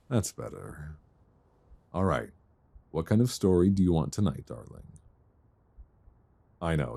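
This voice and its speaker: A man playing an affectionate, bookish boyfriend in an intimate ASMR roleplay, speaking softly, tenderly and soothingly close to a binaural microphone. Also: low voice, gravelly, breathy edge, full character voice. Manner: soft, tender, unhurried, soothing.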